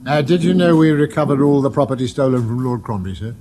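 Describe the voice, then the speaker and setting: An actor playing a dutiful, friendly police sergeant in a radio crime drama. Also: male voice, medium pitch, fast, crowd noise, natural voice. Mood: deferential.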